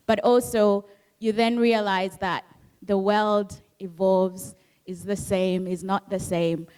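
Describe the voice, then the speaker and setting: A woman's voice: medium pitch, flat delivery, slow, a little mellow, clear, resonant tone. A woman from Zambia, Bemba her first language, talking earnestly and reflectively about her book at a book club. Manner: earnest, reflective, repeats key phrases. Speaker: author.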